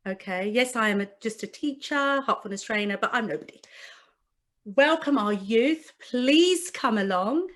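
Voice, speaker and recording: A woman hosting an online panel, introducing guests slowly and warmly. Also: fairly high pitch, lively intonation. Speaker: host.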